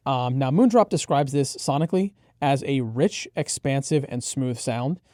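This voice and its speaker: A man co-hosting a product preview, explaining technical details in a measured, conversational way. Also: mid-range voice, mellow, no room sound, studio quality.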